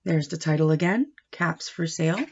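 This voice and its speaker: A woman giving a read-aloud lesson for young children as a teacher, speaking in short deliberate phrases and asking questions as she goes. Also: low voice, slight background noise. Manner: instructive, asking questions and answering them; deliberate short phrases, expressive when reading. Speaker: teacher reading a picture book aloud. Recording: dull, lo-fi sound.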